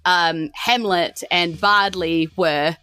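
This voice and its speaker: A woman's voice: on the low side, steady tone, takes it slowly, bright, forceful tone, clean tone. A woman with a New Zealand accent narrating a tabletop game as game master in a casual, informal way. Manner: casual, informal, giggly asides. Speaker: game master and podcast host.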